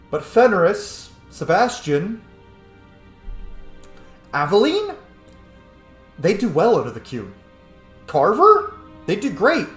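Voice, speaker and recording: A man giving casual game commentary as he roleplays, musing out loud with emphatic words and some swearing. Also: on the high side. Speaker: gamer, host of a roleplay playthrough. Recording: echoey room, faint music behind. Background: faint game music.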